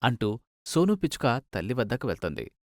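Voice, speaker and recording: A man's voice: mid-range voice, very animated, brisk, no room sound, clean studio sound. A man narrating a scripted children's cartoon story as its storyteller.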